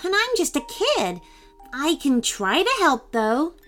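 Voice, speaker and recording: A woman plays a polite, thoughtful young boy, who speaks slowly and carefully in a high voice. Each question comes out hesitant and curious, as in a read-aloud storybook. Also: huge pitch swings, a bit bright, soft background music, heavily acted. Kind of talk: storybook character voice.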